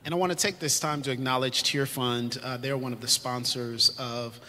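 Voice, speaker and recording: A man's voice: mid-range voice, steady tone, moderate pace, sharp tone. A man moderating a live conference panel, in a preacherly way that rallies the audience. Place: conference hall stage. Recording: echoey hall, faint noise.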